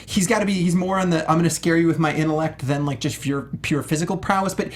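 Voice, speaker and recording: A man's voice: on the high side, fast, slight background noise. A man co-hosting a casual movie discussion, informal, slangy and enthusiastic. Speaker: panel show co-host.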